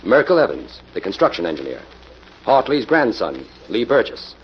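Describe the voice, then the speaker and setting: A man's voice: lowish voice, sing-song, moderate pace. An actor playing an earnest, methodical male investigator in a golden-age radio drama. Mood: deliberate. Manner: earnest, decisive, explanatory. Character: decisive, methodical male crime-fighting investigator hero. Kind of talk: 1940s radio acting. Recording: muffled, noisy old radio recording.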